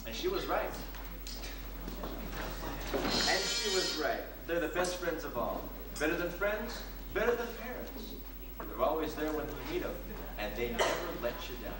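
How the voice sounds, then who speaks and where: A young man playing a disillusioned teenage son in a school readers theatre monologue, colloquial and halting. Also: a little high, sing-song. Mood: wistful. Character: disillusioned teenage son talking about his divorced parents. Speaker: student actor. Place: school room with wooden floor. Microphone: distant camcorder microphone. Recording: echoey, noisy camcorder from far off.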